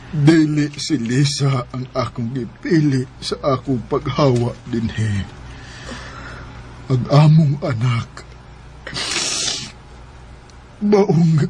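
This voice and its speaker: A man with a Bisaya accent playing a dying, repentant father in a radio drama, with halting, pleading, emotional delivery. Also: mid-range voice, mellow, slight background noise, close to an everyday voice. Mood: weary, pleading. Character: dying repentant father and husband, weak and tender. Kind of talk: Philippine radio drama acting.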